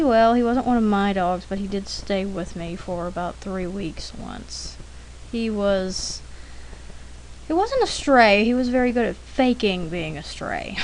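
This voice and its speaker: A woman talks casually and informally at an unhurried pace, in the rambling way of someone telling anecdotes during an art demo. Her pitch sits in the middle range for a woman. The recording has faint noise behind the voice. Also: darkish tone, low-quality recording. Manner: chatty, informal, frequent throat clearing. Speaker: artist narrating a drawing demonstration.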